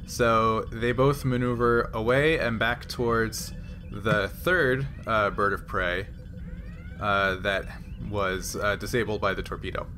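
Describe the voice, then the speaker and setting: A man running a tabletop game as game master, narrating casually and thinking aloud in halting phrases. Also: fairly low pitch, bright, forceful tone, a little hiss or hum.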